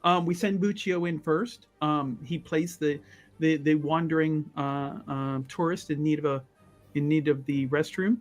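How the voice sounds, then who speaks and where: Man, fairly high voice, enthusiastic, improvised tabletop roleplay, soft background music. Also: fairly even intonation, unhurried. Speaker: tabletop game player.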